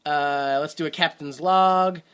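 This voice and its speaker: A man's voice: a little high, fairly even intonation, a little slow, fairly bright tone, smooth. A man co-hosting a podcast, sarcastically recapping a movie in casual chat and mocking it with quoted voices. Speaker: podcast co-host.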